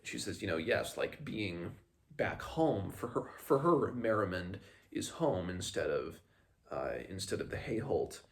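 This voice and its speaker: A man hosting a book read-along, casually recapping the story in a halting, conversational way. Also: low voice, expressive.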